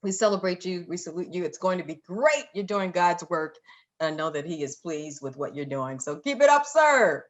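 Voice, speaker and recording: A woman's voice: fairly low pitch, animated, normal speed, muffled recording. A woman with an American accent hosting a live show and interviewing guests in a casual way.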